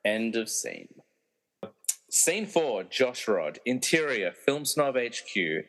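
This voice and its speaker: Man narrating with lively pitch swings. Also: takes it slowly, poor audio. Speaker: narrator reading stage directions. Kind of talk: scripted narration of a radio play.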